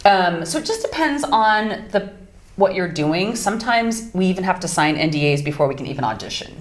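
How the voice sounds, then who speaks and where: A woman voice actress chatting casually in an interview, with a low, deeper voice and an animated, upbeat manner. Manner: animated, bright and upbeat, quick asides. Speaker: voice actress guest. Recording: roomy echo.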